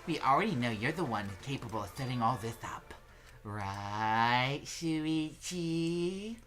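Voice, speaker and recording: A woman's voice: rumbling low, lively intonation, slow, a bit bright. A woman taking part in a group fan dub of a video game, mixing casual banter with read dialogue. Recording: music playing behind the voice. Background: game music.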